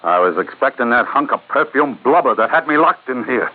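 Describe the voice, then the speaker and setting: A man playing a gruff, laconic, wisecracking ship's mate in 1940s radio adventure style. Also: medium pitch, expressive, a little fast, close to an everyday voice. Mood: grumbling. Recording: tinny old radio recording.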